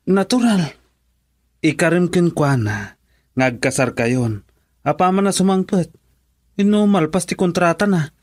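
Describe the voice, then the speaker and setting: A man's voice: sits high, expressive, a little slow, long pauses, no echo, clean studio sound. A man playing a male friend who urgently advises his buddy, in Ilocano-accented radio drama dialogue. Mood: insistent.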